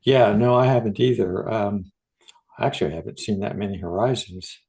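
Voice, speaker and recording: A man's voice: fairly low pitch, moderate pace, creaky, a little mellow. A man co-hosting a podcast in casual, unscripted conversation, tentative and often searching for his words. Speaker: podcast co-host.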